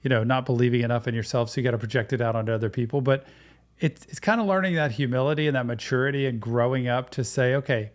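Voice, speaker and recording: A man's voice: medium pitch, quick pace. A male psychotherapist giving casual, thoughtful, analytical commentary while reacting to a show.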